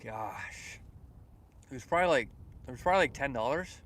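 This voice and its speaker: A man's voice: mid-range voice, expressive, a little fast, a bit bright. A young man from Canada chatting casually on a fishing livestream outdoors by a lake, reading comments aloud and rambling with repeated words. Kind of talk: casual live-stream chat reading comments.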